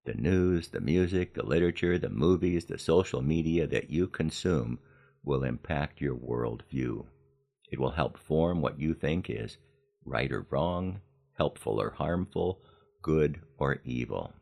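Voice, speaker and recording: Very deep, gravelly older American man. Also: expressive, a little slow, a little mellow. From Los Angeles, United States. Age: 70s. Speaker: podcast host. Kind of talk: scripted personal narration with reflective lessons.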